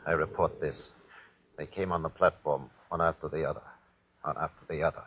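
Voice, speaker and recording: A man playing a cruel, haunted Nazi executioner who reports in a husky voice and obsessively repeats himself, in 1940s radio horror style. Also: a bass voice, steady tone, a little fast, a little hiss or hum. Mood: numb dread. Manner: dramatic, haunted first-person reporting with obsessive repetition. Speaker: actor. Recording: dull, lo-fi old radio recording.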